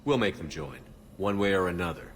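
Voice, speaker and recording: An actor playing a resolute, no-nonsense military commander in a video game, speaking in a terse, determined way. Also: male voice, low-pitched, lively intonation, a little fast, slight background noise. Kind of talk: dramatic video game acting.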